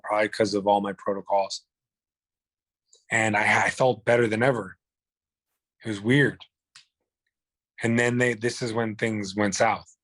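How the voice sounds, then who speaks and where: A man's voice: lowish voice, a bit bright, no room sound. A man, telling his own illness story in a blunt, profane, rambling way. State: hoarse. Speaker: guest. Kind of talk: candid personal storytelling.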